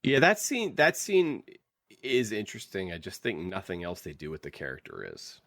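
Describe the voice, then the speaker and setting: Wry man podcaster with swooping pitch. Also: very animated, normal speed, fairly bright tone, no room sound. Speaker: podcast co-host. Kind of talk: casual chat.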